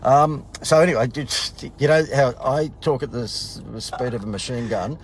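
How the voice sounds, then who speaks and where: A man, a marketing consultant chatting casually and jokingly in a promo vlog, a little husky from talking too much. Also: mid-range voice, normal speed, slight background noise, rough recording. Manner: rambling, joking, many fillers and restarts. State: slightly husky from talking a lot. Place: in a car.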